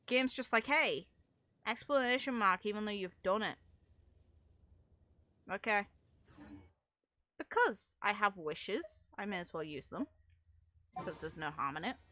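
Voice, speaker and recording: A woman game streamer giving casual, chatty gameplay commentary, thinking aloud in an excitable way. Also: medium pitch, measured pace, long pauses, dry recording.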